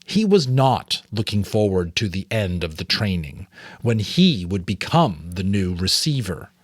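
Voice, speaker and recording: A man reading a story aloud dramatically as host, in an expressive, theatrical manner with casual asides. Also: sits low, measured pace, no room sound, clean studio sound. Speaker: audiobook reader and host.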